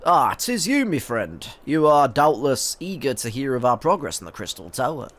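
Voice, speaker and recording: A man's voice: medium pitch, very animated, moderate pace, fairly bright tone. A man reading for a formal young scholar, stuffy-nosed. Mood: eager. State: stuffy, blocked nose.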